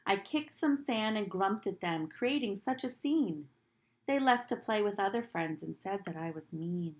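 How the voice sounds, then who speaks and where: A woman reads a children's picture book at a slow, careful pace. Her delivery is animated and teacherly, made for small listeners, and her voice sits at a medium pitch. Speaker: nursery school teacher reading aloud. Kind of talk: expressive picture-book read-aloud for young children, with asides.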